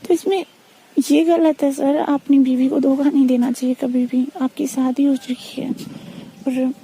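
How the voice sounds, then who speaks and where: High, very soft-toned earnest young woman. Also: level tone, measured pace, poor audio. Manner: earnest, reproachful but polite, insistent. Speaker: student. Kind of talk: private phone conversation.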